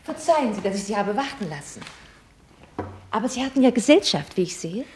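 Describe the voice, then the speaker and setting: An actress playing a proud, mockingly polite young American heiress in a German radio play, speaking in a formal, ironic and probing way. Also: female voice, mid-range voice, very animated, fairly quick, background noise, lightly acted. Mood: cool, teasing. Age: young adult.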